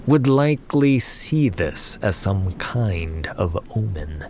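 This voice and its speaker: Slow, low-voiced male fantasy narrator. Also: big pitch swings, a little mellow, slight background noise. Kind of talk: dramatic scripted fantasy narration. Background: music.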